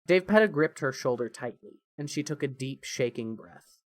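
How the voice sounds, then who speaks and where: Male narrator, medium pitch, scripted storytelling, audio fiction, dry close recording. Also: lively intonation, normal speed, dry recording.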